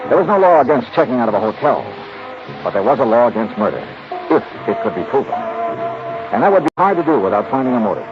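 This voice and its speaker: An actor playing a terse, wry, hard-boiled investigator in a 1950s radio drama. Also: male voice, mid-range voice, sing-song, quick pace, music in the background. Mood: wry, brooding. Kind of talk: 1950s radio acting with first-person narration. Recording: dull 1950s radio recording.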